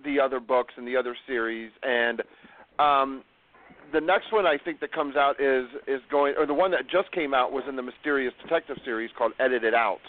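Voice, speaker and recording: A man's voice: mid-range voice, brisk. A man in his forties hosting an interview, chatty and rambling as he sets up questions. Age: 40s. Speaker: podcast host. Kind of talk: casual interview. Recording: tinny phone-line sound, dry.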